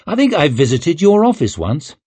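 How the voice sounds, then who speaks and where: A man voicing a cool, ruthless young noblewoman with a crisp, commanding manner, raised well above his own voice. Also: fairly high pitch, sing-song, darkish tone, no echo, voice pushed up. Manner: crisp, commanding, quick to argue.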